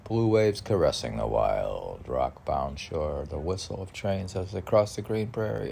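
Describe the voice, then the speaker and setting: A man rambling through a podcast of chat and poetry reading, halting and often talking to himself in questions and answers. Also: sits low, gravelly, darkish tone, a little hiss or hum. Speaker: poet reading and chatting on own podcast.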